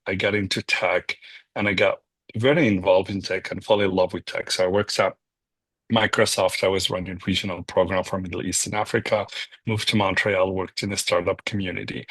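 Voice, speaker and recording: A man from Morocco, a nonprofit founder, answering interview questions in a reflective way, often pausing on "um" and checking in with "right?". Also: fairly low pitch, a bit bright, no echo, poor audio.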